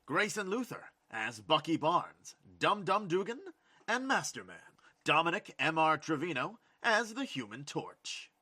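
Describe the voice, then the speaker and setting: A man's voice: on the high side, very animated, measured pace, sharp tone. A man reading out scripted show credits as an announcer.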